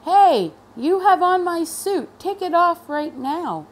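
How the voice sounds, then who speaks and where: A woman voicing a stern, indignant male school principal in a children's story, loud and commanding. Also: very high-pitched, lively intonation, slow pace, pitched above the performer's own voice, exaggerated voice.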